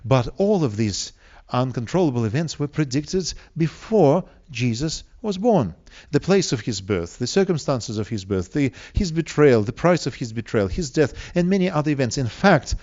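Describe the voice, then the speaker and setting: A man from Siberia with a Russian accent preaches to an audience, speaking deliberately with rhetorical questions and emphatic pauses. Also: mid-range voice, a little mellow, lo-fi sound. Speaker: preacher, evangelistic seminar speaker. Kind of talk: sermon to a live audience.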